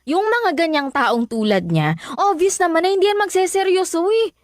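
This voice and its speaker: A blunt, judgmental girlfriend-confidante in radio-drama style. Her high voice swoops dramatically as she scolds, recorded close and dry. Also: animated, normal speed, no echo. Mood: scolding. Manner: scolding, sassy. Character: blunt, judgmental female best friend giving warnings. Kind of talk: Filipino radio drama acting.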